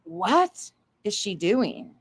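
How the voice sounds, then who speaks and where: A woman's voice: medium pitch, very animated, drawn-out words, breathy edge, no room sound. A woman hosting a livestream, reacting and chatting to viewers in a blunt, indignant, sweary way. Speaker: livestream host.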